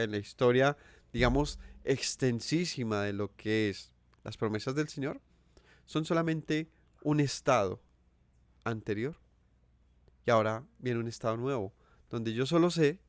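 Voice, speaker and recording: A man's voice: medium pitch, unhurried, clear, resonant tone, dry recording. A Colombian man with a Colombian accent giving an earnest sermon, asking his listeners questions and repeating phrases for emphasis. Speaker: preacher. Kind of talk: sermon teaching.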